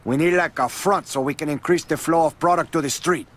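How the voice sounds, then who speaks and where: A swaggering drug lord. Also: male voice, on the high side, fairly quick, fairly bright tone. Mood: cocky. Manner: swaggering, profane, cursing constantly. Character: brash hot-tempered Cuban male drug lord. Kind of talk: video game cutscene acting.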